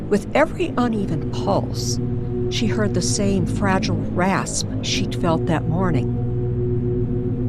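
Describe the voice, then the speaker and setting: A woman narrating an eerie scripted story in an old-time radio style, with deliberate, suspenseful delivery. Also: lowish voice.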